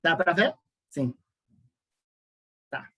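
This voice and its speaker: A speaker from Argentina with a Spanish accent giving an informal academic talk on a video call, halting and repeating words. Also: mid-range voice, very animated, a bit bright, slightly rough. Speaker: guest lecturer, academic and activist. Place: online Zoom call.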